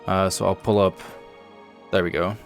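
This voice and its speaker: A man hosting a developer livestream, explaining things casually in a measured, halting way. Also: low voice, little pitch movement, vocal fry. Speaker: host and project lead on a developer livestream. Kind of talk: casual show-and-tell commentary over game screenshots. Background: faint music.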